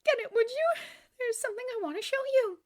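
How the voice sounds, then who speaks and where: A woman's voice: very high voice, animated, a little fast, pitched above the performer's own voice. A woman voicing an emotional, melodramatic young woman from a storybook, heartbroken and indignant. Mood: subdued, explaining.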